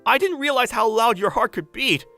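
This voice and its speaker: A woman playing an earnest, excitable thirtysomething man. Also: fairly high pitch, normal speed, faint music behind. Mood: earnest, nervous. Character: earnest, easily flustered man in his thirties. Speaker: voice actor narrating and playing the male lead. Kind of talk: dubbed romantic comedy manga narration.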